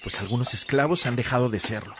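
A man's voice: mid-range voice, quick pace, noisy background, natural voice. A man acting as an earnest churchman in a radio drama. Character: Evodio, earnest male churchman among brothers in faith.

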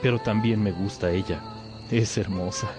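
A man's voice: lowish voice, fairly even intonation, moderate pace, close to an everyday voice. An actor playing a lovesick young man, a respectful pupil who speaks earnestly and hesitantly in a religious radio drama. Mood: earnest, smitten. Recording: music behind the voice.

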